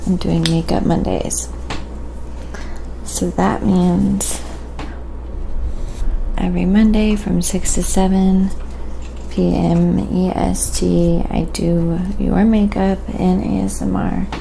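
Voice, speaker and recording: Slow, creaky-voiced woman on a noisy stream. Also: fairly low pitch. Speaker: live-stream host and painter. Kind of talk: casual ASMR livestream chat. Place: at home in an apartment. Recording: clearly audible background noise.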